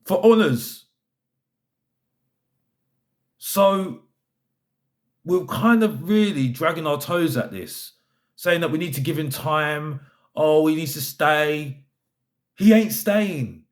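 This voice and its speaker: Male football fan vlogger, slightly high voice, fed up and emphatic, hammering points through repetition. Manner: emphatic, repeats phrases for effect; exasperated, fed up. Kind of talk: opinionated fan rant to camera.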